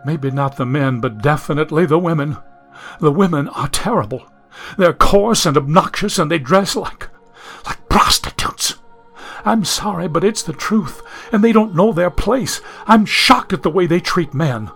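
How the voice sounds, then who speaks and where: A man's voice: a little high, lively intonation, moderate pace, creaky, fairly bright tone, breathy edge, soft background music, heavily acted. A man reading an audiobook, voicing a genteel young woman from the 1870s who is anxious, prim and lost in the modern world. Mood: distressed. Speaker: audiobook narrator.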